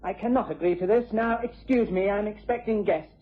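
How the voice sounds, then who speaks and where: An actor playing a stern, preoccupied gentleman uncle in a vintage radio drama. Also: sits high, a little fast, natural voice. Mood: stern, dismissive. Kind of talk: 1950s-60s British radio drama acting. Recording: tinny, narrow vintage radio recording.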